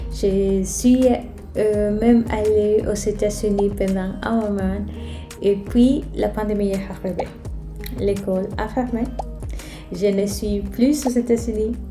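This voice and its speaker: Slow, mellow Spanish-accented woman vlogger. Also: medium pitch. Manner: careful, hesitant non-native French delivery. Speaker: vlogger, channel host. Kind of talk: casual personal storytelling to camera. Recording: roomy echo, music underneath. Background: background music.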